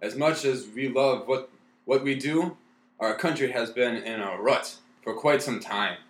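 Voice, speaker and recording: An actor playing a worried, deferential radio producer, earnest and apologetic, in a 1930s-style radio play. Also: male voice, mid-range voice, a little slow, roomy sound, natural voice.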